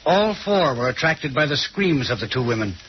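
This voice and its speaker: An actor playing a formal, macabre storyteller, narrating an old radio horror drama deliberately. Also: male voice, mid-range voice, lively intonation, lightly acted. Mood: solemn, building. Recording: muffled old radio, faint noise.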